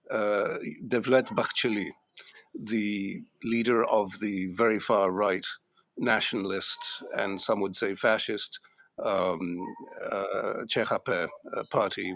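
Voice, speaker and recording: A man with a lowish voice speaks as a podcast guest, the recording close and dry. He talks thoughtfully, pausing on fillers. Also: a bit bright, dry recording. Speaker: journalist guest. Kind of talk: podcast panel discussion.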